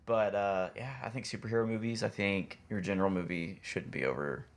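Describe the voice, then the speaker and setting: A man with a fairly low voice and a lot of vocal fry talks as a podcast co-host. He is conversational and opinionated, laying out his reasoning as he goes. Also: steady tone, a little fast. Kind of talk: casual chat.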